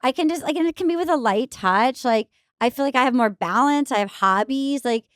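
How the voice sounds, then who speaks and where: A woman in her forties with a high voice, chatting candidly on a podcast in an animated, rambling way. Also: brisk, dry recording, studio quality. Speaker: guest comedian. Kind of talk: casual podcast chat.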